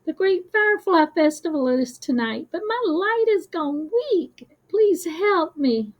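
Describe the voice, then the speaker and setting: A woman voicing a timid little male firefly in a storybook, pleading in a trembling, breathy voice. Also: very high voice, animated, measured pace, voice pushed up. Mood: pleading, worried.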